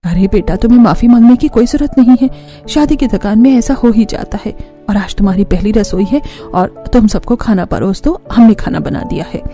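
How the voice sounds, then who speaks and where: A woman voicing a kind middle-aged mother-in-law from a family cartoon. The voice is mellow and mid-pitched, motherly and measured, over light music. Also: animated, natural voice. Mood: reassuring. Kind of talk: cartoon family story dialogue.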